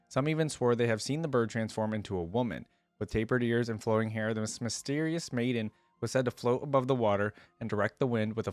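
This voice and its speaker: A man's voice: on the low side, no echo. A man hosting a reaction video, moving between casual, curious commentary and reading lore aloud in a conversational way.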